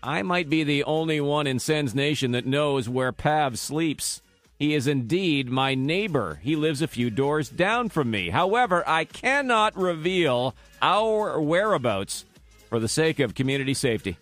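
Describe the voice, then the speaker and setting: Animated, wry male sports radio host. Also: fairly high pitch, a little slow, faint music behind. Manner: wry, joking, mock-serious. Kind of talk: casual sports radio banter. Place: radio studio.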